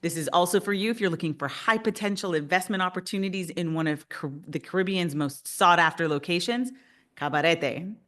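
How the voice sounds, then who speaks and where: A woman's voice: fairly low pitch, fairly quick, a bit bright. A woman in her fifties from Canada, presenting a webinar as a realtor in an upbeat, enthusiastic way.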